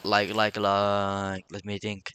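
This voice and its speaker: A man's voice: on the low side, completely flat delivery, takes it slowly, bright, forceful tone, slight background noise. A man from Finland with a Finnish accent and Finnish as his first language, talking casually to camera in a solo vlog, stammering and repeating words as he goes. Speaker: vlogger.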